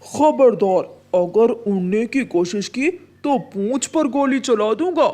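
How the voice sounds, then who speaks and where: A woman's voice: on the high side, expressive, slow, mellow. A woman voicing a stern, watchful male farmer in a cartoon tale. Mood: threatening.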